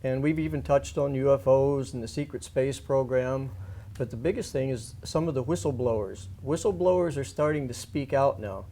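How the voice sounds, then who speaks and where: A man's voice: mid-range voice, moderate pace, warm tone, slight background noise. A man speaking off the cuff as a guest on a radio interview, earnest and emphatic, often restating his points. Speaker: alternative-media guest and writer. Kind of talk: unscripted radio interview talk.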